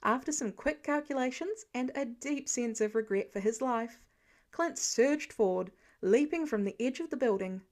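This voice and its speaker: A woman reading fiction aloud as a narrator, expressive, with dramatic and wry emphasis. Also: fairly high pitch, moderate pace. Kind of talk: expressive scripted story narration.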